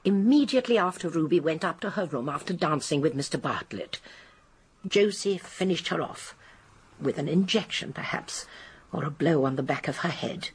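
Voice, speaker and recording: A woman's voice: sits low, expressive, moderate pace, breathy edge, exaggerated voice. An actress playing a shrewd, genteel elderly English spinster detective in a radio drama, precise and emphatic as she explains. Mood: grave, explanatory. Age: older. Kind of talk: British radio drama acting.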